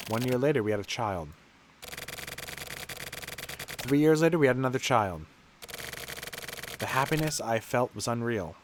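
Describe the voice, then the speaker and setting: A man reading game narration aloud in a measured, dramatic storytelling way. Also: mid-range voice, animated, background noise.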